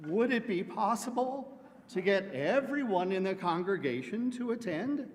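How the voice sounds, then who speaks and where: A man doing a playful, pun-filled announcement skit in front of a congregation. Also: sits high. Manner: punning, playful deadpan. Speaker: congregation member presenting an announcement. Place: large church sanctuary. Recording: long hall reverb.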